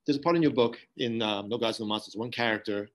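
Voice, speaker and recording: A man's voice: on the low side, quick pace. A man hosting a library podcast, asking curious, rambling questions in a casual interview. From Haitian family background.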